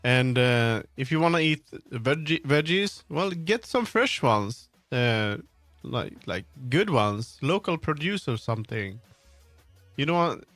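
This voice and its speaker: A man's voice: mid-range voice, expressive, unhurried, a bit bright, no room sound. A man chatting casually on a livestream while he works, rambling, thinking aloud and often trailing off mid-sentence. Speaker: livestreamer, game developer. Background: background music.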